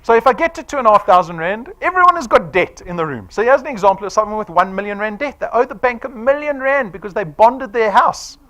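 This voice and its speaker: Fortyish guy pitching to an audience, high voice that jumps all over, keeps going "right?". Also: quick pace, hardly any pauses. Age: 40s. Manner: animated, persuasive, quizzing the room with "right?". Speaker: presenter. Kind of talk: sales presentation to a live audience.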